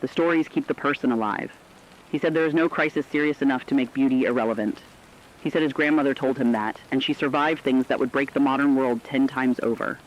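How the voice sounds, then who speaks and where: A man playing an earnest radio correspondent, giving sombre, deliberate scripted commentary on a disaster. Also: mid-range voice, fairly even intonation, a little hiss or hum, lightly acted. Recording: dull lo-fi radio-style sound.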